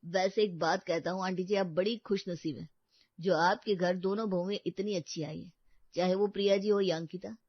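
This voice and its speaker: A woman voicing a cheerful, joking young bachelor brother in an animated storybook. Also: lowish voice, moderate pace, no echo, close to an everyday voice. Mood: friendly. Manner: joking, easygoing. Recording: dull lo-fi dry recording.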